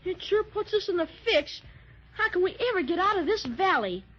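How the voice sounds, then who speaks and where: A performer playing an eager, excitable young boy in a 1930s radio drama. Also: very high voice, moderate pace. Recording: dull old 1930s radio transcription.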